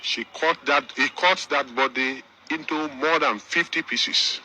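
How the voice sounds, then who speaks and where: A man speaking as the victim's uncle, giving a halting statement to reporters with many restarts. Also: a little high, bright, forceful tone, a little hiss or hum, poor audio.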